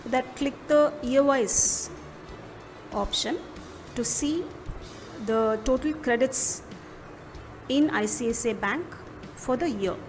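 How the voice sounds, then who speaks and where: A woman with an Indian accent narrating an instructional software demo, explaining step by step in a measured manner. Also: high voice. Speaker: product demo presenter. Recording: music behind the voice. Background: background music.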